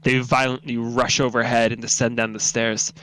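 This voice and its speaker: A man's voice: medium pitch, level tone, moderate pace, fairly bright tone, clear, resonant tone, low-quality recording. A man narrating a second-person horror story in a dramatic, solemn, intense reading.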